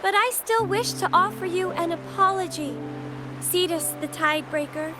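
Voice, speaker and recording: A woman playing a gentle, earnest young acolyte in a video game, speaking softly, hesitantly and with formal courtesy. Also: very high-pitched, moderate pace, music in the background. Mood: solemn apology. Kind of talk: video game character acting.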